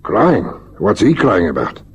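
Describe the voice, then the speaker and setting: A probing South African police lieutenant in an old radio drama. His voice is deep and very rough, with varied intonation. He muses, hesitates and presses. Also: wildly swooping pitch, unhurried, heavily acted. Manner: ruminative, hesitant, then pressing. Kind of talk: vintage radio crime drama acting.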